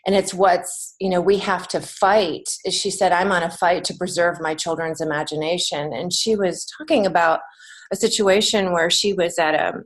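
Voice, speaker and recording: Woman podcast guest, slightly low voice, talks things through earnestly like a teacher. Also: steady tone, normal speed, poor audio. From United States. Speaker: podcast guest, children's author and creative writing teacher. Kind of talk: conversational interview.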